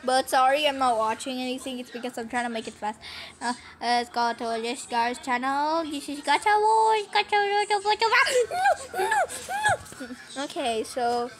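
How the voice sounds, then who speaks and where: A woman's voice: sits high, lively intonation, normal speed. A teenage girl chatting casually over a screen recording of her phone, giggly and easily distracted. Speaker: vlogger. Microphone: phone mic.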